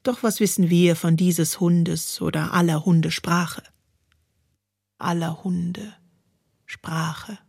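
A woman reciting poetry dramatically, with expressive, deliberate phrasing. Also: lowish voice, creaky, husky, no echo. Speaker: poetry reader. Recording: dry studio recording over music. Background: music.

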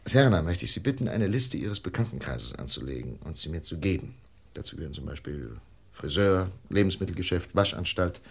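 An actor playing a calm, probing FBI inspector in a radio crime drama, matter-of-fact, patient and insistent. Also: male voice, deep voice, a little fast, gravelly, slightly rough, heavily acted.

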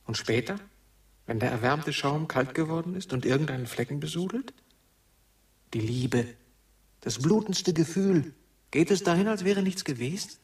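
A man's voice: a little high, animated, normal speed, long pauses. An actor playing a melancholy, questioning male wanderer in a radio drama, speaking in brooding, poetic phrases. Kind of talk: German radio drama acting. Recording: somewhat distant from microphone.

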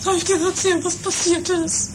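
Extremely high-pitched snobbish rich mama, theatrical, gushing, trashy comedy radio play, noisy old recording. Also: female voice, level tone, a little fast. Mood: whiny, distraught. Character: haughty, melodramatic, money-minded rich mother.

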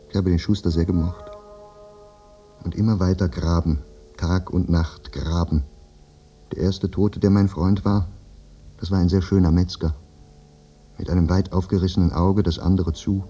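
An actor playing a maimed, anguished soldier, speaking a brooding, plaintive and macabrely frank monologue in a radio drama. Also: male voice, low voice, warm tone. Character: maimed, anguished male war soldier confessing his fate. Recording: muffled radio drama recording, music behind.

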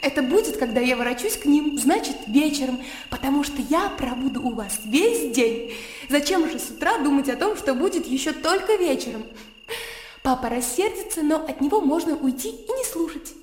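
Актриса играет живую, беззаботную и озорную молодую барышню в радиоспектакле, говорит игриво, по-девичьи. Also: female voice, high-pitched, moderate pace. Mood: defiant merriment. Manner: animated, playful, girlish. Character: lively, carefree, mischievous young country gentlewoman. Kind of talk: radio drama acting. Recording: echoey reverberant hall with noise.

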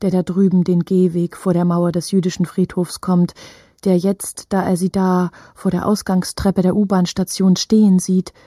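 Woman playing intense radio play narrator, dark husky voice, medium pitch, deliberate and suspenseful. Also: level tone, dry recording, studio quality, lightly acted. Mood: watchful. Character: intense female narrator shadowing a hunted woman's every step. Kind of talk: literary radio drama narration.

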